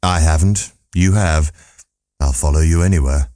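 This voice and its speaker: Man voicing a low, deadpan cynical philosopher. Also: moderate pace, dry recording. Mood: wry. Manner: deadpan, dry, blunt. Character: Martin, world-weary cynical pessimist philosopher man. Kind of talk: audiobook character voice.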